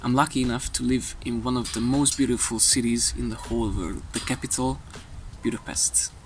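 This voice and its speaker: A man's voice: medium pitch, steady tone, a little fast, far from the mic. A man from Hungary gives a friendly, scripted introduction to his country. He sits a little away from the mic. A faint hiss runs under his voice.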